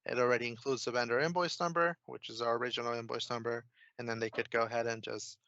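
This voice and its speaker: Male software consultant, informal explainer, steady even intonation, dry close sound. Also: medium pitch, fast talker, no echo, lo-fi sound. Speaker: software consultant, demo presenter. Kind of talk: casual screen-share software walkthrough.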